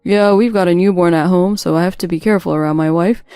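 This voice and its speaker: A woman's voice: fairly low pitch, quick pace, mellow, clear, resonant tone, dry recording, clean studio sound, faint music behind, natural voice. A woman voicing a self-centred, evasive and dismissive husband in a scripted audio story. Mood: complaining.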